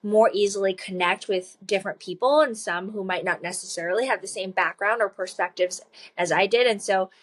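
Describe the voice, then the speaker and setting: A woman's voice: mid-range voice, a little fast. A woman in her thirties, a children's book author, talking casually and earnestly as an interview guest, laughing often and using many fillers. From China.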